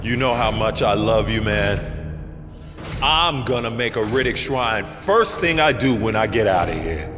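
An actor playing an eager, fawning, foul-mouthed prison inmate in a video game, rambling from loud bursts to mutters. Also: male voice, on the low side, animated, a little slow. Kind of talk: cinematic video game acting. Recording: echoey hall with loud music behind.

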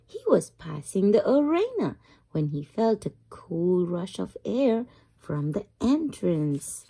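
A woman reading a children's picture book aloud as a storyteller, with expressive, drawn-out emphasis for young listeners. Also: mid-range voice, vocal fry, breathy edge.

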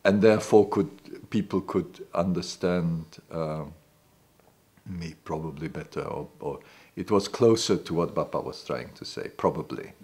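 Man in his sixties, deep voice, non-native English speaker, hesitant, restarts often, quiet living-room interview. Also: measured pace, husky. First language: not English. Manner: hesitant, many restarts and "you know". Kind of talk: reflective recollection. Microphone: off-camera, seated interview.

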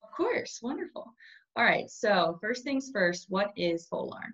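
A woman's voice: medium pitch, big pitch swings, measured pace, fairly bright tone. A woman, hosting a video-call interview in a cheerful, encouraging way from a small plain room. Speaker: host. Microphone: webcam on a video call. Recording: dull lo-fi webcam sound.

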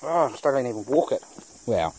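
A man's voice: medium pitch, big pitch swings, quick pace, a little mellow. A man in his thirties thinking aloud in an understated way, giving casual off-the-cuff commentary as an off-road vlogger. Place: outdoors on a forest dirt track. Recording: noisy outdoor handheld camera audio.

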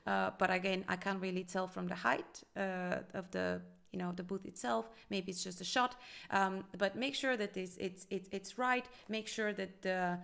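A woman in her thirties talks through restaurant design in a relaxed, hesitant way, stopping often on "uh" and "um". Her mid-range voice has a gravelly fry to it. She sounds like she is speaking into a desk webcam. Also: medium pitch, normal speed. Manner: hesitant, many fillers, thoughtful. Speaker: restaurant consultant reviewing a design. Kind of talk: casual reaction commentary.

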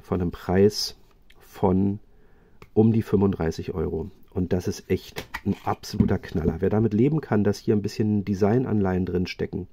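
A man reviewing knives in a chatty, casual way, often pausing with fillers and restarting his sentences. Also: deep voice, fairly even intonation, vocal fry, mellow, husky. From Germany. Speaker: knife reviewer. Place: indoors at a table, hands-only video.

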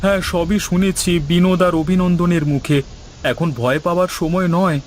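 A man's voice: high-pitched, steady tone, normal speed, slight background noise, close to an everyday voice. A man playing a resolute, reassuring village man in a fairy tale cartoon. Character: calm, resolute village man, Kishore the elder brother.

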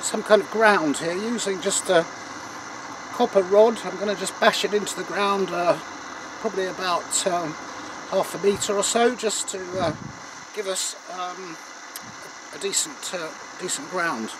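An older man presenting an informal hands-on tutorial outdoors, explaining in a halting way with hesitant pauses. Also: sits high, background noise. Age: older, about 60s. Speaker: presenter of a radio demonstration. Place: outdoors in a garden beside a field.

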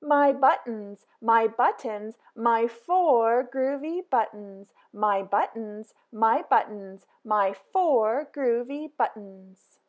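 A woman reading a children's picture book aloud in a slow, sing-song way, with big excited exclamations. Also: a little high, highs cut off. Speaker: storybook reader.

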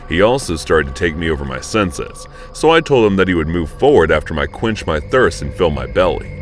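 Deep-voiced man telling a horror story. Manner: expressive, pitch jumps up on indignant lines, drops low and quiet in tense moments. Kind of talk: first-person horror story narration. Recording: narration over loud background music.

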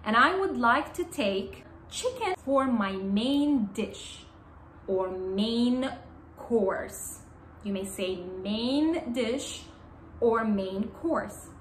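A young woman whose first language is Arabic, speaking straight to the camera as an English teacher and repeating key phrases slowly and clearly. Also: fairly high pitch, lively intonation. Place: small bright indoor room. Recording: roomy indoor sound with faint room hum.

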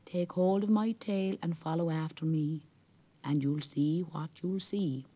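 A man's voice: on the high side, steady tone, unhurried, dark tone. A man voicing a sly, jovial old male merrow who talks in a knowing, wheedling way.

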